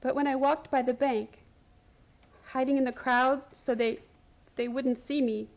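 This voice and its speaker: A high-pitched actress playing a woman patient in a radio play, haunted and obsessed. She recounts her strange story with theatrical intensity and an urgent, frantic edge. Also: steady tone, measured pace. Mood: haunted, insistent. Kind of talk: radio play acting, first-person dramatic narration.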